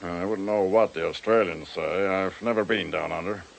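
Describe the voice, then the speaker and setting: A man's voice: low voice, moderate pace, creaky, low-quality recording. An actor playing a shrewd, brash American businessman in a radio drama, talking in blunt, folksy slang. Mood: defensive, blunt.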